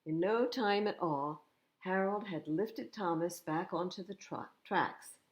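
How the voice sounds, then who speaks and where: A woman's voice: lowish voice, slow, muffled recording. A woman reading a picture book aloud to young children, expressively, with explanatory asides about the pictures. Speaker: children's storytime reader.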